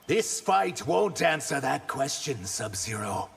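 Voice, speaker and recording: A man's voice: mid-range voice, sing-song, slow pace, creaky, in a large hall, heavy background noise, heavily acted. A man voicing an earnest, guarded reptilian shapeshifter warrior in a video game. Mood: earnest defiance. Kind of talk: video game character dialogue.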